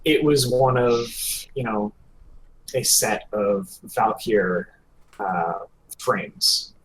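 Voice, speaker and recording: A man's voice: on the low side, extremely slow, fairly bright tone, poor audio. Man chatting casually over noticeable background noise. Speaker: podcast co-host. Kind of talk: casual hobby podcast chat.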